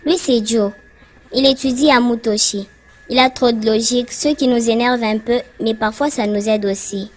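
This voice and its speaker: A woman's voice: fairly high pitch, steady tone, normal speed, soft background music, close to an everyday voice. A woman voicing a cheerful schoolgirl who narrates a cartoon. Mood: friendly, explanatory. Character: Sonia, cheerful schoolgirl narrator introducing her friends.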